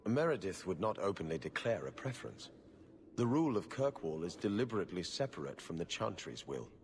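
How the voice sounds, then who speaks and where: A weary, harried bureaucrat in a video game. His deep voice rises and falls with formal, dry exasperation in long reverb. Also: sing-song, brisk, slight background noise. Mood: grave, warning. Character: weary, harried, sardonic male city official. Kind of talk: video game cutscene acting.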